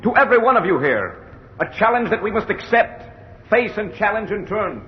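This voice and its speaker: An actor playing a political orator with an emphatic, declamatory delivery in old radio drama style. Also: male voice, high-pitched, very animated, brisk. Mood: rousing. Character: rousing political orator addressing a crowd. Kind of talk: 1940s radio acting, oratory. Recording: muffled, noisy old radio recording.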